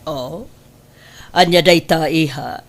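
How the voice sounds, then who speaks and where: An actress playing a controlling middle-aged mother in an Ilocano audio drama, insistent and deliberate, with an Ilocano accent. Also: deep voice. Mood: coaxing.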